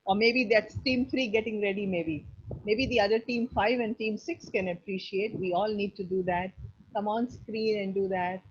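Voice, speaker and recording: A woman's voice: medium pitch, steady tone, moderate pace, husky. A woman with an Indian accent teaching an online class, giving effusive feedback and often repeating her phrases. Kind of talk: enthusiastic spoken feedback to a class. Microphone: video call. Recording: dull lo-fi video call audio.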